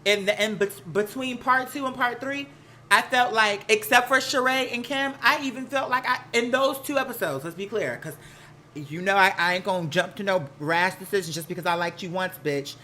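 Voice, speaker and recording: A live stream host with an American accent chatting casually and gossiping, sassy and animated, slangy and rambling from one aside to the next. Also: mid-range voice, normal speed, slight background noise. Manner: sassy, slangy, peppered with "girl" and "honey". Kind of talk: casual live chat to viewers, gossipy commentary.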